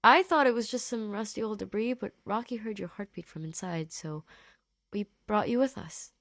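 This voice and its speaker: A woman voicing a gentle, earnest male scientist in audio fiction, soothing and slightly rambling as he reassures. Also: medium pitch, moderate pace, dry recording, studio quality, voice pushed up, lightly acted. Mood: gentle, explaining. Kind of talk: character dialogue in audio fiction.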